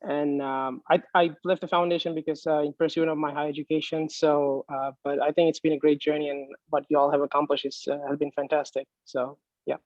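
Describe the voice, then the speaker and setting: A man's voice: fairly high pitch, little pitch movement, brisk, darkish tone, no echo. A young man giving casual remarks as a former secretary over muffled video-call audio.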